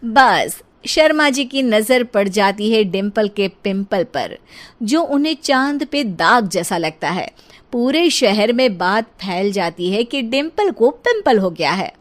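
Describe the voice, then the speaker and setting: A woman's voice: medium pitch, animated, slow pace. A woman narrator telling a scripted comic family tale. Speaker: story narrator.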